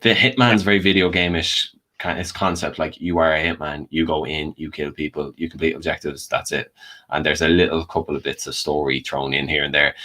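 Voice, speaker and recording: A man's voice: extremely low pitch, fairly even intonation, a little fast, pushed and bright. A man speaking as a panel guest, casually explaining his opinions on films. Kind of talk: casual film debate.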